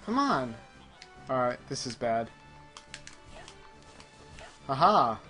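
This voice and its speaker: A man's voice: mid-range voice, sing-song, takes it slowly, pushed and bright, soft background music. A man hosting a gaming show, chatting casually and muttering distracted asides as he plays. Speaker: gaming show host playing the game. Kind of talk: casual chat while playing.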